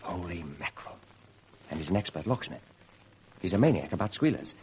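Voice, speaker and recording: A muffled old radio drama recording of a keen young constable. His voice is low and lively, swinging as he gets excited, yet deferential and earnest. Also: a little fast, breathy edge, full character voice. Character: eager, impulsive young police constable keen on detection. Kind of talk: vintage radio drama acting.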